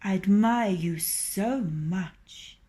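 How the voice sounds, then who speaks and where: A woman voicing a sly male fox in a storybook reading, coaxing and flattering. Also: medium pitch, extremely slow. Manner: sweet-talking and coaxing.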